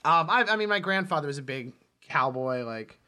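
Male podcast co-host, bright tone, informal movie talk, joking storyteller. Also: fairly high pitch, animated, moderate pace. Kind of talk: casual movie chat.

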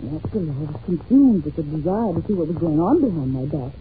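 A woman's voice: low voice, lively intonation, quick pace. A woman playing an anxious, polite young governess in an old-time radio drama, speaking earnestly and hesitantly on a muffled, hissy recording. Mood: confiding. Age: young adult. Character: anxious, well-mannered young governess confiding in a detective.

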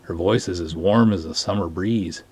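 Man playing pompous, lovestruck lake cop, husky. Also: low-pitched, a little mellow, pitched below the performer's own voice. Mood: enchanted. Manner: pompous, florid, deliberate storytelling. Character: verbose, lovestruck, dignified male lake patrol cop. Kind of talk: dramatic short-story reading.

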